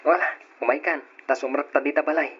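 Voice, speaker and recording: An actor playing a playful young boyfriend in a radio drama. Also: male voice, on the high side, steady tone, fast, slightly rough. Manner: teasing, playful. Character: young man, affectionate boyfriend. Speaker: boyfriend character. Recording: dull lo-fi radio recording with faint hiss.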